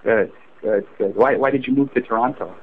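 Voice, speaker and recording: Halting young man on a noisy phone line. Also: mid-range voice. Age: 20s. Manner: halting, questioning, repeats himself. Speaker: prank call target. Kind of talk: casual phone chat. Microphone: telephone.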